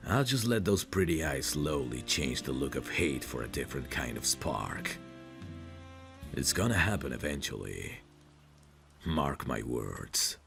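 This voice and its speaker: A man playing a possessive, protective mafia boss in an intimate audio roleplay, self-assured and coaxing, with a gravelly voice. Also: heavily acted. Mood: confident, seductive. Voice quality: very deep, gravelly. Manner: slow, deliberate, self-assured, coaxing with pet names.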